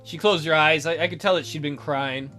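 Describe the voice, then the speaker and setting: A woman's voice: low voice, fairly quick, fairly bright tone. A woman doing a game playthrough, reading text aloud and adding wry, sarcastic asides. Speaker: let's player. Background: game music.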